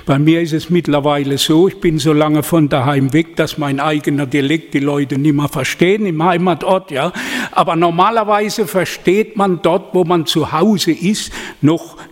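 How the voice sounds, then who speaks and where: A man's voice: fairly high pitch, fairly even intonation, moderate pace. A middle-aged man preaching a sermon in an earnest, rambling way, often adding "ja?" as he goes. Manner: earnest, digressive, with frequent "ja?" asides. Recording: long hall reverb.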